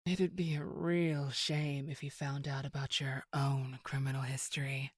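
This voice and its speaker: A woman's voice: very deep voice, flat delivery, unhurried, husky, dry recording, studio quality, heavily acted. A woman acting a sardonic, menacing enforcer in an audio drama. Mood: smug menace. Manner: smug, sarcastic, threatening.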